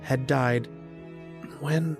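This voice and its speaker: A man as a gravelly, brooding student lodger. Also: mid-range voice, steady tone, over music. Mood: brooding, reflective. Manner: hesitant in dialogue, brooding and measured in narration. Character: polite, curious male student lodger narrating a ghost tale. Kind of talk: old-time radio horror drama narration.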